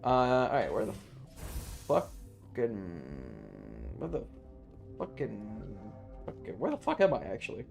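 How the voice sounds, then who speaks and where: Game streamer, very animated pitch, slow pace, rambling, playful, profane, faint music behind. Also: creaky. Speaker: live streamer. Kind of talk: casual gaming commentary and chat with viewers.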